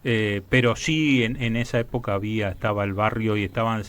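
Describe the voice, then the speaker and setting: A man's voice: on the low side, fairly even intonation, brisk. A man with an Argentine Rioplatense accent, hosting a conversational radio interview. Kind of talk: scripted radio intro and casual conversational interview.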